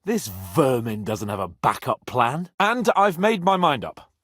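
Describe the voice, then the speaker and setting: An actor playing a brash, haughty young medieval nobleman in a video game, sardonic and hot-tempered, with a slightly husky voice. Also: mid-range voice, a little slow, no room sound, studio quality, full character voice. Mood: haughty, firm. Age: young adult. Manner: haughty, sarcastic, swearing, expressive.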